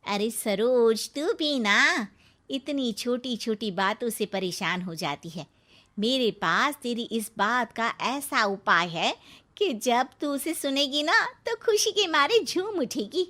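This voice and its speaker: A woman's voice: fairly high pitch, animated, slow pace, pushed and bright, heavily acted. A chatty older female friend from a cartoon moral story, voiced by a woman. She speaks in a familiar, confiding way, teasing lightly and handing out advice like secrets. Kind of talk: acted dialogue in a Hindi moral story cartoon.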